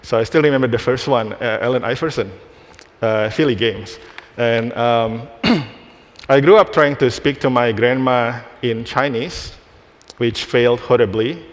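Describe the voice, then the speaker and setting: Halting man presenting in a reverberant hall. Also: mid-range voice. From Indonesia. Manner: halting, restarts phrases while demoing. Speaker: conference speaker. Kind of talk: live technical presentation. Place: conference hall stage.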